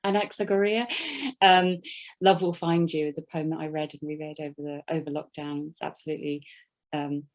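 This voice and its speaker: A woman's voice: low-pitched, little pitch movement, fairly quick. A woman author talking thoughtfully about her poetry collection, hesitant with many fillers.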